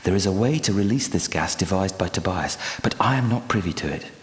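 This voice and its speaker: An actor playing a grave, courteous guardian knight and temple architect in an adventure game, speaking in a formal, archaic, measured way. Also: male voice, sits low, expressive. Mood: grave, fearful. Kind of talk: scripted adventure game character dialogue. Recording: echoey hall with long reverb.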